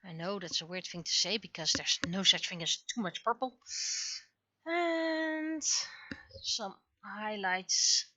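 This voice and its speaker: A woman livestreamer casually musing aloud in short, halting phrases as she comments on her art. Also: medium pitch, sharp tone, highs cut off. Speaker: livestreamer, webcomic artist.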